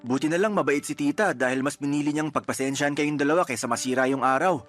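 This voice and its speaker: A man acting a patient, earnest young boyfriend who gently reasons in a radio love-story drama. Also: fairly high pitch, quick pace, faint music behind, natural voice. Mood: reproachful. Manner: earnest, placating, mildly reproachful.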